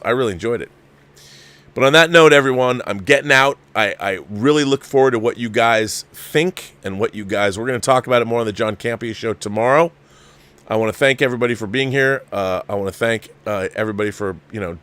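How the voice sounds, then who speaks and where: A man's voice: mid-range voice, a bit bright. A man hosting a film review livestream, chatting off the cuff to viewers in a rambling, emphatic way and often repeating his phrases. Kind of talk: casual off-the-cuff movie review chat to viewers.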